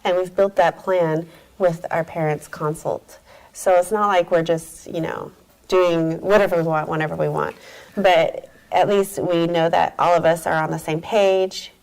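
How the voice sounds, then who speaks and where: A middle-aged woman chats easily about her work in a casual interview, upbeat and quick to laugh. Her voice sits a bit low and carries a gravelly fry. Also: fairly even intonation, a little mellow, low-quality recording. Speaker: guest, company executive.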